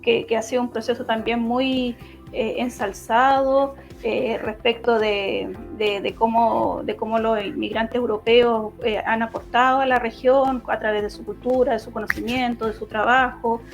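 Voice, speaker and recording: A woman with a Chilean accent giving explanatory answers in an online debate, halting and often restarting her phrases. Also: a little high, flat delivery. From Los Ríos region, southern Chile. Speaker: political candidate and law lecturer. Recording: dull lo-fi online audio, music behind.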